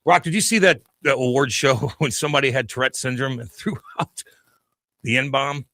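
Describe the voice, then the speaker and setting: A man co-hosting a sports talk radio show, casual banter, loud and emphatic, repeating his points as he argues. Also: mid-range voice, normal speed.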